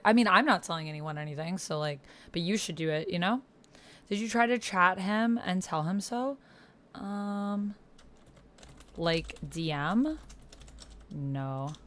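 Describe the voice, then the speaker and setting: A woman's voice: on the low side, expressive, a little slow, a bit bright. A woman hosting a roleplaying game, chatting casually and expressively in slangy, informal language as she plays along. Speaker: host playing a text-based roleplaying game. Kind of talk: casual chat while playing along with typed roleplay.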